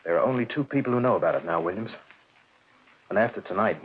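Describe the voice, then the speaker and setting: An actor playing a police lieutenant who calmly admits to a murder, in a 1940s radio drama. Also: male voice, quick pace, husky. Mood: cold calm. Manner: calm, low, matter-of-fact. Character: cold, calculating police lieutenant hiding a murder. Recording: tinny, faintly hissy old radio recording.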